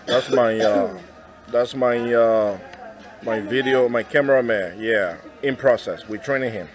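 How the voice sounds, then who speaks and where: A man casually narrating a family vlog at an outdoor cafe, relaxed and repetitive, filming on a handheld camera. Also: medium pitch, measured pace, soft background music. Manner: easygoing, repeats himself, plugs the place. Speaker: vlogger.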